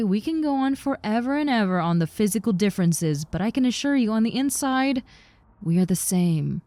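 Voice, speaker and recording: A woman's voice: on the low side, warm tone, dry recording. A woman playing a friendly, harmless giant snake-girl roommate in a roleplay audio, talking in a casual, reassuring, teasing way. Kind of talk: roleplay audio with personal attention, conversational acting.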